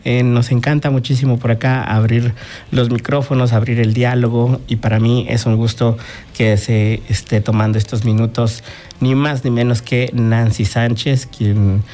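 A man's voice: mid-range voice, little pitch movement, moderate pace, mellow. Male host asking long winding questions. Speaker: radio host. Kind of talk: interview hosting.

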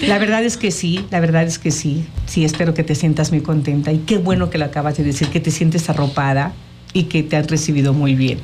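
A woman's voice: deep voice, a little fast, a little mellow. Chatty Mexican woman hosting, slight noise. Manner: chatty, encouraging, frequently affirming. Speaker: interviewer, host. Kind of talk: casual chatty interview.

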